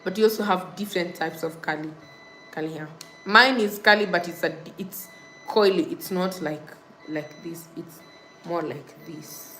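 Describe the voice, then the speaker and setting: A woman's voice: on the low side, unhurried, sharp tone, faint music behind, low-quality recording. A young woman from Kenya hosting a casual livestream, reading viewer comments and repeating thanks and subscribe reminders. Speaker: livestream host. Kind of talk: casual live-stream chat with viewers.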